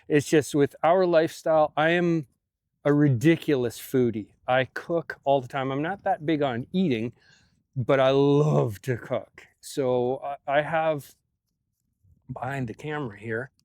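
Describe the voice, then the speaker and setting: Slow-talking man vlogging casually outdoors. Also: on the high side, a little mellow, no echo, studio quality. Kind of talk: casual personal vlog to camera. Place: outdoors on a small farm.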